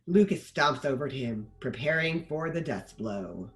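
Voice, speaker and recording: A woman's voice: rumbling low, animated, moderate pace. A woman narrator reading the stage directions of a screenplay in scripted narration.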